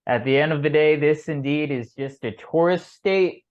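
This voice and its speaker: A man's voice: a little high, moderate pace. Laid-back man on a tinny line. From California. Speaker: podcast host. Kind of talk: casual interview chat. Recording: tinny phone-like sound.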